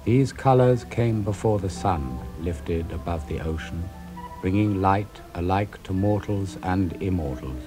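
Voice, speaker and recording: An older man reading epic poetry aloud in a slow, grave, declamatory manner, with faint music behind. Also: low-pitched.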